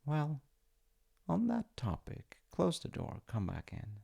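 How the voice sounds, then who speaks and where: A man playing a playful, tender wizard fiancé in an intimate ASMR-style audio roleplay, speaking to the listener in a gentle, coaxing way. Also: on the high side, wildly swooping pitch, slow pace, creaky, slightly rough, exaggerated voice. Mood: playful, excited. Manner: gentle, coaxing, often dropping into whispers. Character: playful, tender male wizard fiancé showing off magical home.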